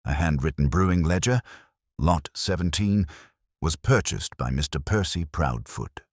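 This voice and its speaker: An earnest young police sergeant, voiced by a male reader, full of questions and keen. His voice is very deep and husky, with a lively lilt. It is recorded close and dry. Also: unhurried, no room sound, exaggerated voice.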